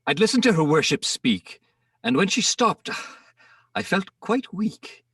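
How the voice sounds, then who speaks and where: A man giving a theatrical, measured dramatic reading of rhyming comic verse as the narrator. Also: high-pitched, animated, gravelly, fairly bright tone, no room sound, studio quality, recorded from a distance. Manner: theatrical, measured storytelling delivery. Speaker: poem narrator.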